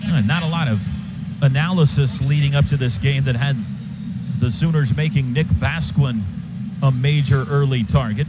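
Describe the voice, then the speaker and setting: A man doing live radio play-by-play of American football in clipped, descriptive phrases. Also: mid-range voice. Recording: dull radio broadcast with clear background noise.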